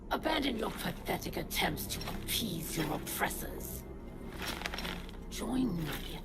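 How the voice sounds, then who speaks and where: Slow, menacing female alien warlord. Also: mid-range voice, heavy background noise. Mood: cold, commanding. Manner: slow, menacing, grandiose delivery. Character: bitter, commanding female alien pirate warlord. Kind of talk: dramatic video game acting.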